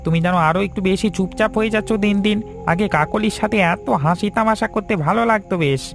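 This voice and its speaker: A village neighbour in a cartoon folk drama. He has a very high male voice and talks in a kindly, solicitous way, with faint music underneath. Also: fast talker, gravelly. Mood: gentle, concerned. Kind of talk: Bengali cartoon drama acting.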